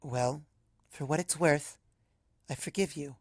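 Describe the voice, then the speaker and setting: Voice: medium pitch, measured pace, no room sound, close to an everyday voice. A young pegasus mare, as a storybook narrator plays her, talks in an affectionate, playful way, both teasing and reassuring. The voice sits mid-range in a dry, close recording. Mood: tender, reassuring.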